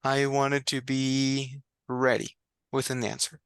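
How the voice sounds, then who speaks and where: Gravelly-voiced man. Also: medium pitch, monotone, slow pace, fairly bright tone, no echo. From Mexico. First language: Spanish. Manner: reflective, candid, laughs often, restarts words. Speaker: guest. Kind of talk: personal faith story in conversation.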